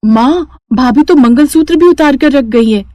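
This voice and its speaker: An indignant young sister-in-law in a cartoon drama, voiced by a woman. Her pitch is raised high as she complains. The sound is dry. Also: moderate pace, warm tone, dry recording, close to an everyday voice.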